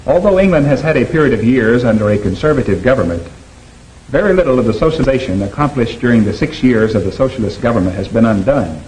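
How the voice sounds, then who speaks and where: A man reads 1950s documentary narration at a middle pitch. His delivery is steady and grave, and he explains each point plainly. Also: quick pace, a little hiss or hum, poor audio.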